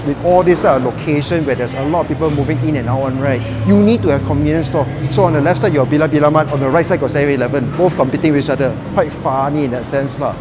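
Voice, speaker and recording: A man's voice: fairly high pitch, noisy background. Chatty Malaysian English man touring a building. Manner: chatty, upbeat, colloquial with "lah" tags. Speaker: property vlogger. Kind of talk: walking property tour vlog. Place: outdoors and in a residential complex, walking around.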